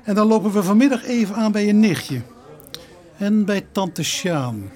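An actor playing a courteous, methodical police inspector in a radio play, questioning in a measured, businesslike way. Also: male voice, high-pitched, a little mellow, soft background music. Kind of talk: naturalistic radio play dialogue.

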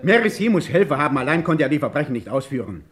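Resolute police inspector with animated, lifted pitch. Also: male voice, fast talker. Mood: urgent, persuasive. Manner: emphatic, insistent, clipped orders. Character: male French police inspector, resolute, impatient, narrating his case. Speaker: detective narrator. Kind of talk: old German radio crime drama acting.

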